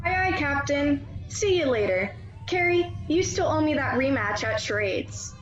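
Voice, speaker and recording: A woman's voice: high voice, lively intonation, a little slow, reverberant, lo-fi sound, soft background music. A young woman playing a wry, teasing teenage girl in a sci-fi radio play.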